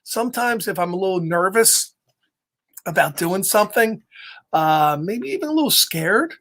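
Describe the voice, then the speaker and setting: A man's voice: high voice, expressive, moderate pace, a bit bright. A middle-aged man telling a children's story, with casual chatty asides and a playful, encouraging, simple way of talking.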